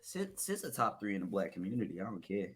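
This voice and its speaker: A man's voice: fairly low pitch, very animated, fast talker, slightly rough. A man on a podcast panel bantering casually, teasing the others and steering the group along.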